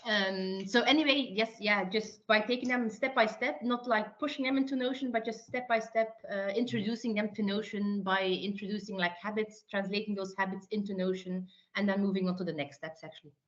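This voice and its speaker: A woman's voice: medium pitch, steady tone, brisk, few pauses, lo-fi sound. A woman born in Belgium, a project manager and consultant, talking at length in a casual interview with many restarts and fillers. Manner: chatty, many restarts and fillers, talks in long runs.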